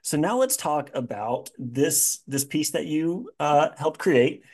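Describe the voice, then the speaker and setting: A man's voice: fairly high pitch, expressive, takes it slowly, darkish tone. A man hosting a podcast, chatting informally and rambling his way toward questions in a self-deprecating manner. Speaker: podcast host. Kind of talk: casual interview chat.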